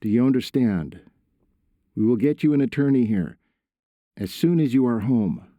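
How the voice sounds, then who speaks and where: A man's voice: medium pitch, animated, moderate pace, warm tone, dry recording, pitched above the performer's own voice, lightly acted. A man voicing a worried father in an audiobook, stern but caring, earnest and urgent. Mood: firm.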